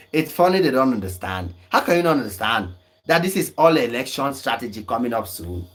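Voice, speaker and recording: A man's voice: mid-range voice, big pitch swings, brisk. A young man, talking on a livestream and arguing back at viewer comments with emphatic, scolding insistence and frequent laughs. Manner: argumentative, emphatic, laughs often. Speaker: online commentator.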